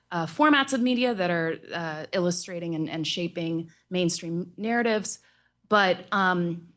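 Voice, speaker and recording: A woman giving analytical remarks as a panelist, measured and often pausing. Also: lowish voice.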